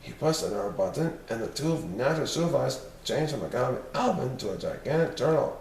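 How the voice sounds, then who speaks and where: A man's voice: medium pitch, expressive, quick pace, gravelly, darkish tone. Male narrator for a children's read-aloud; mid-range voice, recorded a little far from the mic. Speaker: storybook reader. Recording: roomy, distant mic, faint noise.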